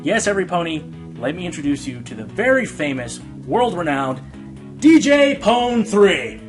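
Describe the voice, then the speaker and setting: Man as a swooping, excitable pony radio host. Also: high-pitched, moderate pace, over music. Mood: genial, excited. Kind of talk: radio play talk show hosting.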